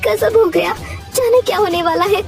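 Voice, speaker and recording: A woman's voice: extremely high pitch, moderate pace, distant mic. A woman voicing an anxious, fretful village wife and mother in a cartoon. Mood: worried. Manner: worried, fretful. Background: loud music.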